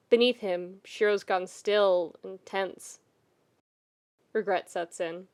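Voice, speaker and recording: A woman reading a scripted story as a podfic narrator, with expressive, intimate storytelling. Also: mid-range voice.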